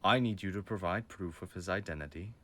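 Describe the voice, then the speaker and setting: A man's voice: deep voice, a little fast, pushed and bright. A man playing a stern, menacing mafia boss father with a formal, commanding manner in an audio roleplay. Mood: cold, threatening. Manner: formal, commanding, coldly threatening.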